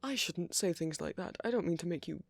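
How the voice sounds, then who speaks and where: A man playing a friendly, flirty vampire in an intimate ASMR roleplay, courteous and gently teasing, with a husky, murmuring voice close to the microphone. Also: a little high, lively intonation, a little fast, no room sound. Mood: gentle, teasing. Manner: gently teasing, polite old-fashioned phrasing, soft chuckles. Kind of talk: ASMR roleplay, intimate one-sided dialogue to the listener.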